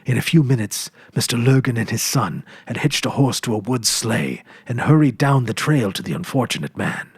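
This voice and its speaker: A man narrating a classic story as a measured, dramatic reading. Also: mid-range voice, expressive, breathy edge, dry recording.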